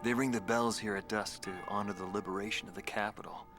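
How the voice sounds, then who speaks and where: A reflective former fighter pilot played by a man speaks in a game cutscene testimony. He sounds subdued and haunted, with a fairly low voice over quiet music. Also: steady tone, fast talker, natural voice. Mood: pensive, somber. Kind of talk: dramatic documentary-style testimony.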